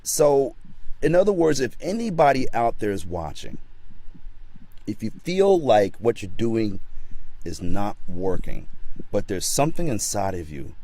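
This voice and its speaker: A man's voice: lowish voice, big pitch swings, measured pace, mellow, dry recording, low-quality recording. A man talking as a guest in a casual interview, often repeating words as he stumbles, giving encouraging advice. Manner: encouraging, motivational. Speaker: guest actor.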